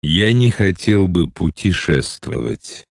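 Deep-voiced guy reading phrases real slow, dry close sound. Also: expressive, no echo. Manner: slow, deliberate enunciation. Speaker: Russian phrase reader.

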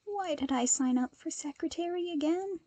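A woman's voice: very high-pitched, normal speed, dark tone, no echo, pitched above the performer's own voice. A woman voicing a cheerful, playful young hero secretary with a bubbly, teasing manner. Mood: lighthearted.

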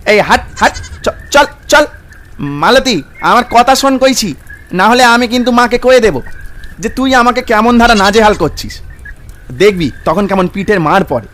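A village goatherd boy in a cartoon tale , acted by a man, scolding and coaxing with rustic fondness. The pitch is very high. Some noise sits behind the voice. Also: fairly bright tone. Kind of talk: cartoon story character voice.

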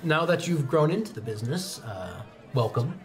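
A man's voice: fairly high pitch, very animated, normal speed. A man voicing a polite, well-off middle-aged merchant with a formal, humble way of speaking. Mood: curious, polite.

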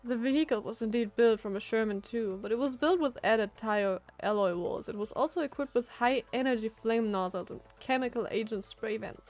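A mild young male civil servant from a visual novel, read by a female streamer. He sounds tired and polite, explaining things in a light, high voice. Faint music is behind. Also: normal speed, few pauses, darkish tone, natural voice. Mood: apologetic explaining.

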